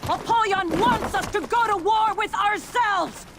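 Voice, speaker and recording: A woman's voice: extremely high pitch, unhurried, background noise. An actress playing a defiant female samurai leader in a game, crying out impassioned orders to rally warriors. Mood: outraged, defiant. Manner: loud, impassioned rallying cries. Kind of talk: declamatory game acting. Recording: loud music behind.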